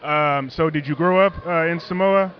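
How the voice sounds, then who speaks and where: Brief: talkative male interviewer, a bit high-pitched, joking, muffled outdoor sound. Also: normal speed, a little hiss or hum. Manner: chatty, joking, rambling questions.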